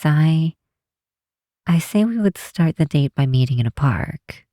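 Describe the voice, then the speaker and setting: A woman's voice: deep voice, dark tone, dry recording, clean studio sound, exaggerated voice. A woman voicing an affectionate, bookish vampire researcher in an ASMR roleplay, talking tenderly and teasingly with small hesitations. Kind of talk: ASMR roleplay, one side of a late-night phone call.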